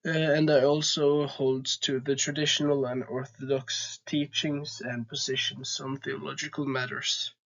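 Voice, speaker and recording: A man's voice: medium pitch, flat delivery, unhurried, vocal fry, few pauses, a bit bright, muffled recording. A young man with a Norwegian accent, hosting his own channel and talking earnestly with frequent hesitations. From Norway. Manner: hesitant, many "uh" fillers, earnest. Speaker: channel host. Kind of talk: casual talking-to-camera vlog.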